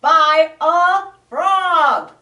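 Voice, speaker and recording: A woman's voice: mid-range voice, sing-song, a little slow, pushed and bright. A woman voicing a grumpy, big male bear who growls and grumbles, in a storybook reading. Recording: roomy, distant mic.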